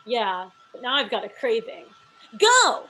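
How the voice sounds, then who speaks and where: Bright-voiced, needy actress girlfriend woman acting. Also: a little high, big pitch swings, unhurried, a little hiss or hum, exaggerated voice. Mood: demanding. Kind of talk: comedy script table read.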